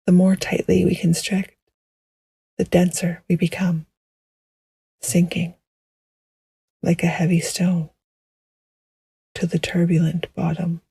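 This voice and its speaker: A woman guiding a meditation in a gentle, hushed, poetic style, with a soft, breathy voice that often nears a whisper. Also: on the low side, monotone, no echo. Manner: gentle, hushed, unhurried, soothing. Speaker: meditation guide. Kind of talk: slow poetic guided meditation narration.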